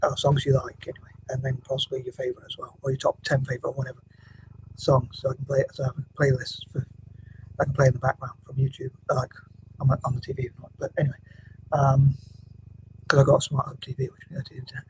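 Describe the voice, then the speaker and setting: Quiet, mumbling man rambling in a monotone. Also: medium pitch, fast, noisy background. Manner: hesitant, mumbling, many restarts and fillers; often drops to a near whisper. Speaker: vlogger. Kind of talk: rambling casual vlog monologue. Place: home room, building work next door.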